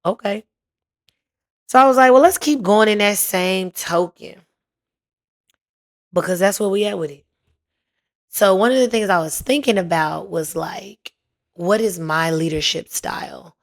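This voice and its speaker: A young woman hosting her own podcast in casual chat, her voice raspy and hoarse as it recovers, talking playfully with lots of slang. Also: medium pitch. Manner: chatty, playful, slangy, drawn-out emphasis. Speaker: podcast host.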